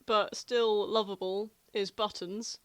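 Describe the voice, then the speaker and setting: A woman's voice: mid-range voice, steady tone, slow, no echo. Chatty, sweary woman in dry close sound. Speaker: podcast co-host. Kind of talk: casual banter about a TV show.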